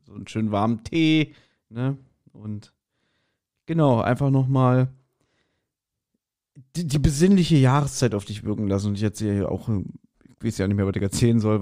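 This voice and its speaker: A man's voice: on the low side, warm tone. Rambling, self-deprecating male podcast host. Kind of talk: casual, jokey podcast chat.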